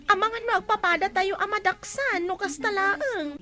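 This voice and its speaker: A woman's voice: very high-pitched, moderate pace, bright, forceful tone. A woman acting a pleading, conciliatory schoolgirl in an Ilocano radio drama. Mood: pleading, chiding.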